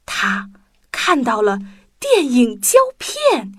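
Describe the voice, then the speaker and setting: A woman tells a children's story in a fairly high, swooping voice. Her narration is expressive and aimed at young listeners, with music softly behind her. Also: slow pace.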